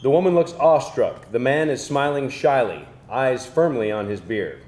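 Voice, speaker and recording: A man's voice: medium pitch, lively intonation, normal speed, a little mellow. Male screenplay narrator, mid-range voice, reading scene directions in a reverberant room. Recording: echoey live room.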